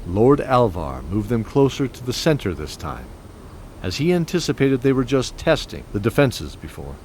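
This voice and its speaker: A man narrating an audio drama in a measured, descriptive storytelling manner. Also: medium pitch, very animated, a little mellow, a little hiss or hum. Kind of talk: scripted narration. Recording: music bed under voice.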